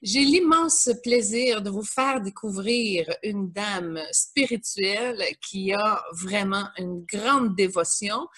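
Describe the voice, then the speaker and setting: A woman's voice: mid-range voice, slow, few pauses, husky. A woman with a Québécois French accent, hosting an interview in a chatty way with many fillers. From Quebec. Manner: warm, chatty, lots of fillers. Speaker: host.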